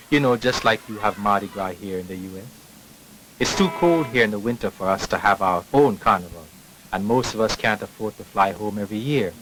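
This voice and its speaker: A man from the Caribbean giving steady, measured scripted narration for a documentary. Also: fairly low pitch, low-quality recording. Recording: narration over loud music. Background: music.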